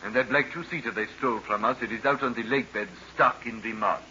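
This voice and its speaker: Sharp-voiced defensive henchman, played by a man. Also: medium pitch, fast talker, natural voice. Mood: reporting. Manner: defensive, stammering. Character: henchman pilot, defensive underling pleading with his boss. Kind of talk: 1940s radio serial acting. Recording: muffled, noisy 1940s radio recording.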